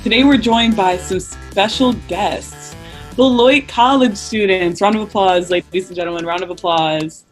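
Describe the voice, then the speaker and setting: A man's voice: high-pitched, normal speed, soft background music. A young man with a Midwestern American accent hosting a podcast in a casual, joking manner. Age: young adult. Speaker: podcast host.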